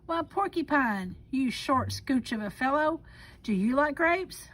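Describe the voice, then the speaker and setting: A woman plays a scheming, smooth-talking fox from a storybook. He is smug and pompous, talking down to others in slow, theatrical phrases pitched on the high side. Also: expressive, fairly bright tone. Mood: coaxing.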